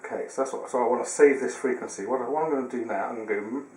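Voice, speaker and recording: A man's voice: mid-range voice, racing, a little mellow. A man presenting a casual how-to demonstration, explaining step by step in a hesitant way. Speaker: tutorial presenter. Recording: roomy, distant mic, faint noise.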